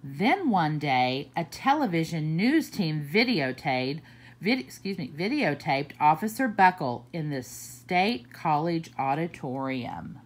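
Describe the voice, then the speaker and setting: Woman, storybook reader for kids, unhurried, big pitch swings, lowish voice. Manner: expressive, slow and deliberate, swooping pitch. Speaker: children's librarian reading aloud. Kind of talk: storybook read-aloud.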